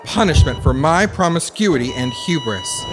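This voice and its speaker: A man's voice: fairly high pitch, expressive, moderate pace, loud music behind the voice, natural voice, low-quality recording. Man playing a campy, sardonic undead avenger. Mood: melodramatic. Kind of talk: audio play acting.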